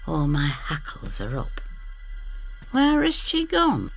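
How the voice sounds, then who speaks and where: A woman's voice: sits low, wildly swooping pitch, takes it slowly, gravelly, faint music behind, heavily acted. An actress playing a stubborn, loyal, chatty older Northern English woman who tells her story in an audio drama. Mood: uneasy. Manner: chatty, gossipy, blunt Northern phrasing.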